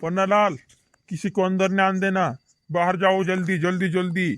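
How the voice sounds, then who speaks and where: Bossy village doctor played by a man, higher male register, insistent repetition, dry amateur cartoon-comedy recording. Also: level tone, normal speed, a bit bright, dry recording. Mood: urgent, commanding. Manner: repeats short phrases insistently.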